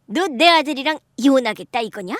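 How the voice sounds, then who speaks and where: A woman's voice: high voice, expressive, brisk, a bit bright, dry recording, clean studio sound, voice pushed up. A woman voicing a harsh, contemptuous middle-aged mother-in-law who scolds shrilly. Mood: enraged.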